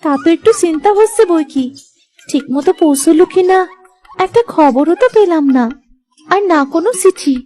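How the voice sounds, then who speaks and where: Woman as very high, sweet young village wife. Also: lively intonation, normal speed, warm tone. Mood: worried. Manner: sweet, agreeable, deferential. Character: sweet young younger daughter-in-law, deferential and eager. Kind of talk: Bengali moral-story cartoon dubbing.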